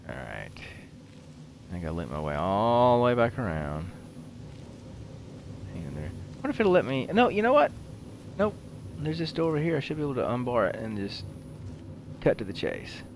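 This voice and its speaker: A man's voice: medium pitch, wildly swooping pitch, moderate pace, vocal fry, pauses often, slight background noise. A man giving casual let's-play commentary as a game streamer, muttering offhand to himself.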